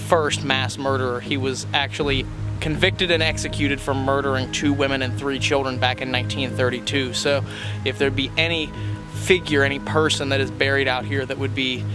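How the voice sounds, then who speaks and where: A man's voice: mid-range voice, flat delivery, moderate pace, hardly any pauses, music in the background, poor audio. A young man, hosting a casual outdoor vlog, talking upbeat to a handheld camera while walking. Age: young adult. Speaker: vlog host. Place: outdoors by a creek and cemetery. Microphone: handheld camera at arm's length.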